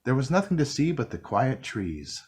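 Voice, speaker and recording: A man reading a children's picture book aloud, narrating gently and expressively. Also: medium pitch, sing-song, normal speed, slightly rough. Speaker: storybook reader. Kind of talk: children's read-along narration.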